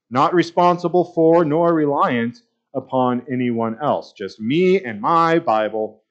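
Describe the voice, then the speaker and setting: A man in his thirties preaching a sermon at a church pulpit, conversational and wry with sarcastic asides. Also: medium pitch. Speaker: pastor. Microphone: pulpit mic, far camera. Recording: slightly dull church livestream audio.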